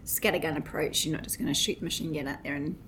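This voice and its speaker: A woman's voice: low voice, fairly even intonation, fast, a bit bright, a little hiss or hum, poor audio. A woman with a New Zealand accent co-hosting a podcast, asking probing questions in a casual, laughing chat. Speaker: podcast co-host.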